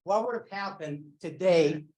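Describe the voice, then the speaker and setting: A man moderating a real estate sales meeting panel, earnest and encouraging, with halting starts. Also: sits high, a little mellow. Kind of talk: casual meeting talk. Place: office meeting room.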